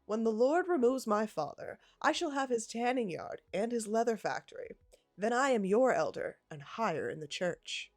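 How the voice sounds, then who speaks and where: A woman's voice: fairly high pitch, expressive, moderate pace, no echo, studio quality, soft background music, pitched above the performer's own voice, close to an everyday voice. A woman voicing a pious, boastful young male suitor who turns to threats. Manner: boastful, solemn, then threatening.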